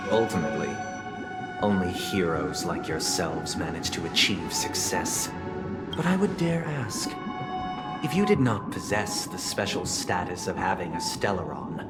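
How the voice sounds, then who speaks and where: A man voicing a solemn, eloquent young leader in a video game, speaking in formal, measured oratory. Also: low voice, big pitch swings. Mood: grave, persuasive. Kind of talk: dramatic video game dialogue. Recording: loud music under the voice. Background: game music.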